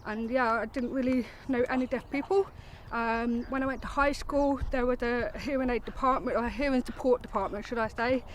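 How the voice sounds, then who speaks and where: A woman's voice: on the high side, steady tone, brisk, slight background noise. A woman who is a football player talks reflectively and hesitantly in an interview.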